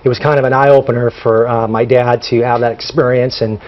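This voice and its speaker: A man speaking in a mid-pitched voice, stopping and restarting with plenty of "um"s as he tells a family story. His voice comes through slightly dull broadcast audio. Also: level tone. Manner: halting, many fillers and restarts. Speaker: guest, son of honored general. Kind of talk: TV studio interview.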